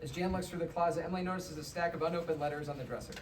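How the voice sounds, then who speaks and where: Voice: mid-range voice, very fast, far from the mic. The recording is echoey and noisy. A narrator reads out scripted scene directions for a comedy table read, some way from the mic. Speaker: narrator reading stage directions.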